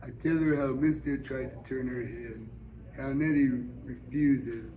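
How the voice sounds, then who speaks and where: A man's voice: mid-range voice, unhurried, warm tone, muffled recording. A man reading a novel aloud, delivering first-person narration written in Southern dialect. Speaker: book reader. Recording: noisy public store ambience.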